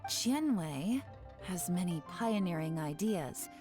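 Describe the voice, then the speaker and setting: An actress playing a cool, commanding woman spymaster in a video game, composed, measured and authoritative. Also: lowish voice, lively intonation, music in the background. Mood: formal, composed.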